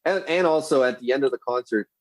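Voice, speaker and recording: A man's voice: medium pitch, fast talker, no room sound. Profane, rambling male podcast guest. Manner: profane, rambling, animated storyteller. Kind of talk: casual storytelling chat.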